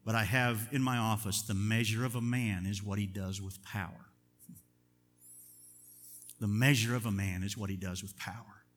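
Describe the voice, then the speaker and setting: A middle-aged man, a pastor, preaching a sermon in an earnest, measured way, slowing down to stress key words. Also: on the low side, fairly even intonation, fairly bright tone. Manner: earnest, measured preaching with deliberate slow emphasis. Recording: long reverb of a large hall.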